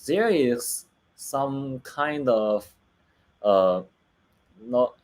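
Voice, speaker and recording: A film director with a Chinese accent, speaking English as a second language, who talks haltingly on a video call, restarting and searching for words. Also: male voice, medium pitch, expressive, a little mellow. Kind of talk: remote interview.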